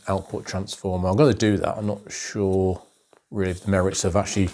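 A middle-aged man in a home workshop talks calmly and methodically through a radio repair, with many ums and uhs. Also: on the low side, gravelly. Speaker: electronics restorer.

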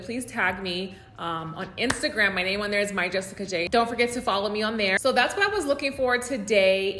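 A woman's voice: mid-range voice, a bit bright, slight background noise. A woman in her thirties with an American accent, chatting casually and informally to camera as a home decor vlogger. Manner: conversational, informal, frequent "like" and "you guys". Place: room at home, indoors. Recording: roomy indoor echo.

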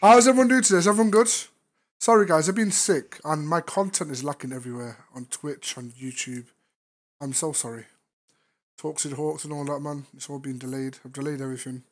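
A man, a regular streamer, chatting casually in a virtual-reality game, his voice strained and hoarse after being ill, loud and crude in his teasing. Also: a little high, a little fast. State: strained tired voice after illness. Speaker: VRChat streamer. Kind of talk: casual chat.

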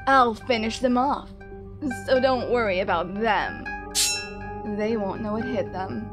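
Woman as slow, teasing anime villainess. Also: a little high. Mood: smug, flirtatious. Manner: teasing, drawling, smug. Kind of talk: fan anime audio drama acting. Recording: music clearly behind the voice.

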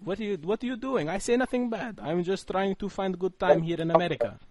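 A man's voice: high-pitched, fast talker, a crowd in the background, close to an everyday voice. A man voicing an eager, naive, lustful Russian newcomer with a Russian accent and broken English on a prank phone call. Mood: defensive, innocent. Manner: broken English, insistent, pleading.

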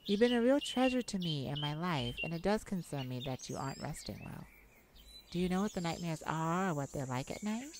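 A woman's voice: on the low side, lively intonation, fairly quick, lightly acted. A woman playing a chipper, silly, caring roommate and best friend in a comforting audio roleplay, with playful, theatrical phrasing. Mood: caring, reassuring. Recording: noisy, birds and insects behind. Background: nature sounds with birdsong and insects.

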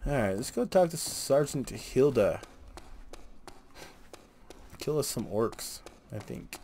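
A man's voice: mid-range voice, expressive, slow, darkish tone. A man casually narrating his gameplay, muttering joking asides as he goes. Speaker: gameplay streamer. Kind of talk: casual gameplay commentary, reading quest dialogue aloud. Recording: noisy home recording.

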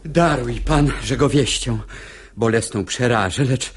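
An actor playing an urgent, loyal young gentleman in a verse radio play, with a husky voice. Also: medium pitch, unhurried. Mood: urgent, solemn. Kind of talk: verse stage comedy performed as radio drama.

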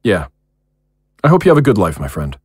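A gentle, devoted man with a voice that swings widely in pitch. He is sincere and tender, in an expressive audio drama performance recorded very dry. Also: fairly quick, breathy edge, no room sound, exaggerated voice. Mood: regretful. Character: earnest, gentle, caring man, devoted partner and friend.

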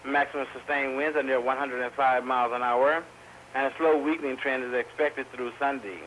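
A man's voice: medium pitch, fairly even intonation, brisk, fairly bright tone. Male weather radio reader, medium register, scripted forecast delivery, slightly noisy 1990s VHS-taped broadcast. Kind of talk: scripted weather bulletin reading. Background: faint radio noise.

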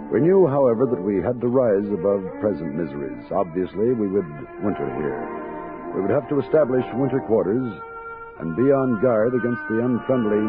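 An actor playing a practical, wry American army captain in a 1960s radio drama, plain-spoken and dry. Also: male voice, on the low side. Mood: resolute narration. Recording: dull vintage radio recording with music.

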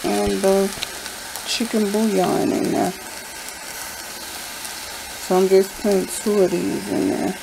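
A woman's voice: mid-range voice, slow pace, creaky, pauses often. A woman chatting casually while she cooks in her home kitchen, rambling as she works at the stove. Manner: relaxed, rambling, talks while cooking. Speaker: home cooking vlogger. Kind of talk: casual cook-along narration. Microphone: camera pointed at the pot, speaker off-frame. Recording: home camera with kitchen noise.

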